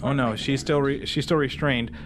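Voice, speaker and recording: A man's voice: mid-range voice, fairly even intonation, moderate pace, slight background noise. A man speaking as game master, casually improvising narration and rules calls for a tabletop game.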